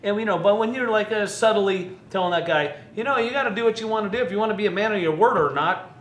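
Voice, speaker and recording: A man's voice: sits high, quick pace, a bit bright. A man chatting casually as a co-host, chiming in on someone else's story. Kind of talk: casual chat, interjections. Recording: echoey room with faint noise.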